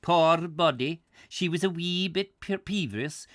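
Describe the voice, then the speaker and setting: A man voicing an earnest Scottish village marriage priest with a broad, halting dialect in a story. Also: on the high side, a bit bright, dry recording, clean studio sound, pitched above the performer's own voice. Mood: earnest, explanatory.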